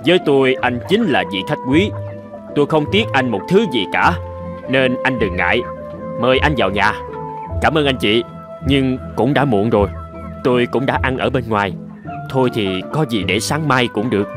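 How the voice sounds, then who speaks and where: A courteous cartoon countryman, voiced by a man. His voice is medium in pitch, with formal, deliberate and polite phrasing. Music plays clearly behind him. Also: lively intonation, lightly acted. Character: courteous men of a countryside fairy tale, host and guest. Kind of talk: animated fairy tale dubbing. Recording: background music under the voice.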